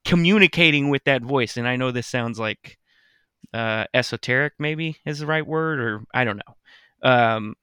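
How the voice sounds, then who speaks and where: Clear, bright-voiced man chatting earnestly. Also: mid-range voice, normal speed, no room sound, clean studio sound. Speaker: podcast co-host. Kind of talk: casual chat.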